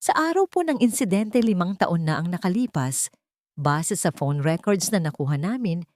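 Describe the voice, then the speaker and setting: A woman's voice: on the low side, a little mellow, dry recording, clean studio sound. A woman voicing a male private investigator who reports politely and respectfully.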